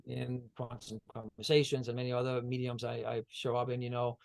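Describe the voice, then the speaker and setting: A man's voice: mid-range voice, little pitch movement, brisk, vocal fry, a little mellow. A man from Denmark, a guest on a video-call interview, talking about energy healing in a meandering way with many fillers and restarts. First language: Danish.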